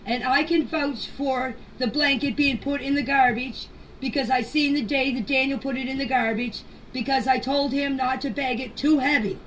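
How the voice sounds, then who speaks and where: A mature woman giving an informal spoken statement in a deliberate, halting way, in short phrases, as a voice message. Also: high-pitched, steady tone. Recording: distant noisy amateur voice clips.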